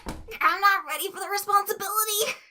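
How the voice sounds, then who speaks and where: Woman acting a twitchy, high-strung teenage boy, shrill. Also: brisk, full character voice. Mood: panicked. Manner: nervous, stammering, yelling into the mic. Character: twitchy, anxious teenage boy, panicky and stammering.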